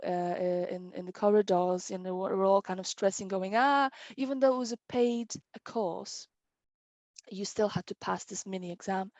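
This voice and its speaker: A woman in her thirties from Poland, Polish her first language, telling her story as a guest interpreter in an eager, animated way, laughing often. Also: mid-range voice, no echo. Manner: self-described competitive.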